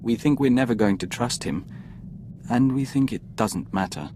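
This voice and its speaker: An actor playing a calm, formal, measured man linked to an alien hive mind in a video game. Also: medium pitch, a little hiss or hum, natural voice. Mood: calm, guarded. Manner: calls himself "we", measured.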